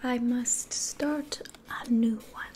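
Woman whispering as a slow, seductive scheming demoness. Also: a little high, fairly even intonation, darkish tone, a little hiss or hum. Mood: coaxing, sly. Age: 20s. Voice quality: breathy whisper. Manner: slow, deliberate, coaxing. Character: seductive, scheming female demon tempting for souls. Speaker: ASMR roleplay performer. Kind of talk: whispered ASMR roleplay. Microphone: close ASMR microphone.

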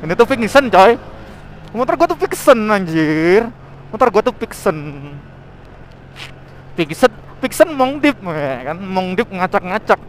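A man chatting casually and excitably in slangy style as he vlogs while riding outdoors. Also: high-pitched, a little slow, pauses often, a little hiss or hum. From Indonesia. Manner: excitable, exclamatory, interjection-filled. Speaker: motovlogger. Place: outdoors, riding a motorbike on a forest mountain road.

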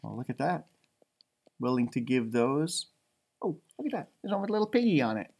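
Animated American man reading a storybook. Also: mid-range voice, fairly quick, vocal fry. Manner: animated, commenting on pictures. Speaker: storybook reader for schoolchildren. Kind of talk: expressive children's read-aloud with chatty asides. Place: indoors, small library room.

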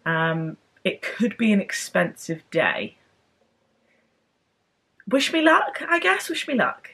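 A young woman chatting to camera in a casual book vlog, bubbly and excitable, rambling from one thought to the next. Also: mid-range voice, takes it slowly, breathy edge. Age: young adult. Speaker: book vlogger. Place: home room with bookshelves, later parked car.

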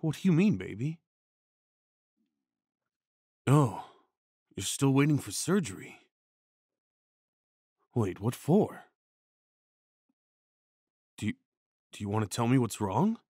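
A man performing an ASMR comfort roleplay as a tender, worried boyfriend, speaking in a hushed, soothing way with sighs and shushing. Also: mid-range voice, very animated, takes it slowly, pauses often, husky, dry recording, clean studio sound.

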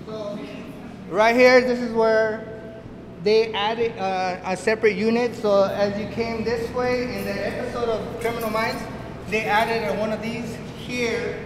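A man in his fifties giving a casual, explanatory walking tour as a school plant supervisor. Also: sits high. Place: school stairwell and basement electrical room. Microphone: handheld interview microphone. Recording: echoey concrete basement, noisy handheld mic.

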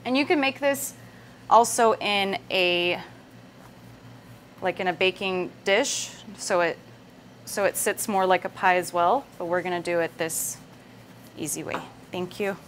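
A woman's voice: on the low side, a little slow, pauses often, fairly bright tone. A woman in her thirties hosting a casual live cooking demonstration, talking conversationally through each step. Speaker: cooking show host. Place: indoor kitchen set with wooden worktop. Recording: noisy room sound with kitchen ambience.

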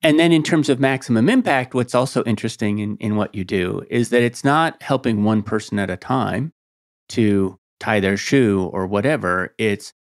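A man's voice: lowish voice, normal speed. A man hosting a podcast, asking probing questions in a conversational interview. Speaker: podcast host.

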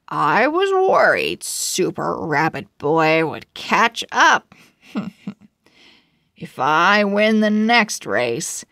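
A woman's voice: deep voice, fairly bright tone, no echo, clean studio sound, exaggerated voice. A woman voicing a boastful, gloating male viking king from a children's storybook. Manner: smug, gloating.

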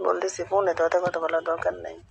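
A young woman with a Bangladeshi accent chats casually over a phone call with noise behind her. Her voice is hoarse and creaky from a cold, staying fairly monotone as she questions and repeats herself. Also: lowish voice, fast. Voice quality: hoarse, broken voice. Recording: noisy phone call recording.

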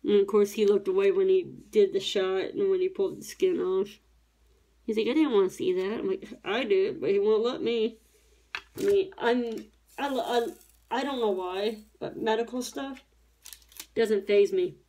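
A woman's voice: medium pitch, steady tone, moderate pace, mellow. Chatty woman rambling while she crafts. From Tennessee, USA. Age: 30s. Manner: rambling, chatty, self-correcting. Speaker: diamond painting YouTuber. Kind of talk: casual chat while crafting. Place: home craft table, indoors.

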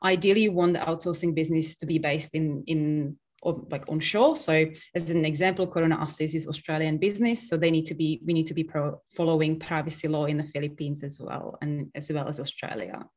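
A woman's voice: low-pitched, steady tone, fairly quick, hardly any pauses, a little mellow. A woman in her thirties from the Czech Republic, speaking with a Czech accent as a business guest on a video call, earnest and explanatory. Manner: earnest, explains with hand gestures. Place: home office, virtual background. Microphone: webcam on video call.

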